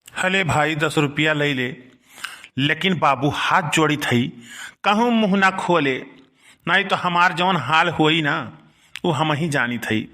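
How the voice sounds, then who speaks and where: A man's voice: a little high, measured pace, sharp tone. A man playing a middle-aged village uncle in a comic cartoon, grumbling and rustic, with an Awadhi accent. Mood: pleading, wheedling. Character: scolding village uncle, easily blackmailed, henpecked and wheedling.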